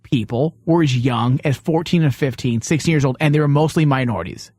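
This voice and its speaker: A man's voice: mid-range voice, brisk, a little mellow, dry recording, clean studio sound. A man co-hosting a talk show, debating casually and jokingly in an informal, rambling way.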